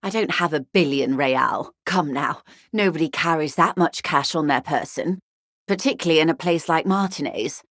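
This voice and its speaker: A woman's voice: sits low, moderate pace, a bit bright, no echo, clean studio sound. A woman playing a poised, wealthy, shrewd corporate negotiator in a video game, speaking formally and with polite, guarded care. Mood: wry, amused. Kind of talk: video game character dialogue.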